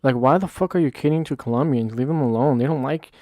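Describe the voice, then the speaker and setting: A man's voice: mid-range voice, fast, darkish tone, no echo. A man talking bluntly and profanely in a heated casual discussion, repeating himself as he goes. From United States.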